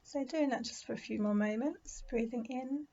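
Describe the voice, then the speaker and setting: A woman leading a guided meditation in a gentle, soothing, unhurried manner with pauses. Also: fairly high pitch, muffled recording, a little hiss or hum. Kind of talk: guided body scan meditation.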